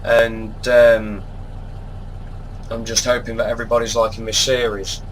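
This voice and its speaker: A man's voice: mid-range voice, poor audio. A man with a congested voice and a sore throat, giving easygoing, laid-back commentary while he plays a game. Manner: unhurried, easygoing, appreciative. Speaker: gaming channel host. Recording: steady truck and air-conditioning hum behind. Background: game engine and cab noise.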